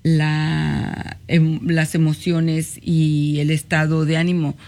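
A woman's voice: deep voice, flat delivery, takes it slowly, creaky. A woman hosting a community radio talk show, thanking callers and guests, often adding "¿verdad?" as she speaks. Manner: warm thanks, frequent "¿verdad?" tags.

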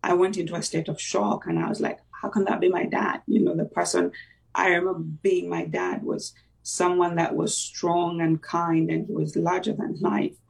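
Gravelly voice, halting. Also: mid-range voice, little pitch movement, far from the mic, poor audio. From Uganda. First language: Luganda. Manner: reflective, halting, with many fillers and restarts. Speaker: interview guest. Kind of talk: personal faith testimony in conversation.

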